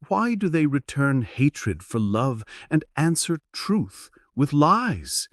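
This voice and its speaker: A man's voice: mid-range voice, dry recording, studio quality, voice pushed up. A man voicing a sad, lonely, pleading wife in a theatrical, plaintive reading of a stage play. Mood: troubled.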